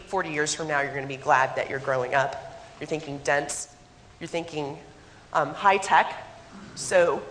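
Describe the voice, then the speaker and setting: Hoarse woman presenting in a reverberant hall. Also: deep voice, a bit bright, slight background noise. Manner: direct, poses rhetorical questions to the audience. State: hoarse, getting over a cold. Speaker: economic development consultant presenting. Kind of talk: conference presentation. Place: conference hall.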